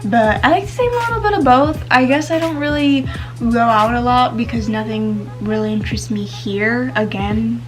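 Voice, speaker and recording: A woman's voice: on the high side, normal speed, soft background music. A teenage girl vlogging casually to camera, chatty and full of fillers, whose first language is Spanish. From United States. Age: teens.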